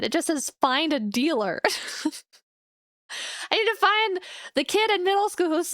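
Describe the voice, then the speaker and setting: A high-pitched young woman talking to her stream in a relaxed, chatty way, laughing easily between thoughts. The sound is close and dry, with no room around it. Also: no room sound. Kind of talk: casual chat with stream viewers. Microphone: studio microphone.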